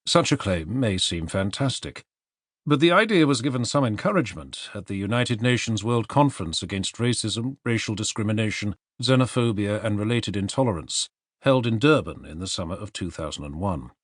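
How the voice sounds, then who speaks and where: Deep-voiced male audiobook narrator, expressive intonation. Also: moderate pace, no room sound, studio quality. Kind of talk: scripted narration of non-fiction.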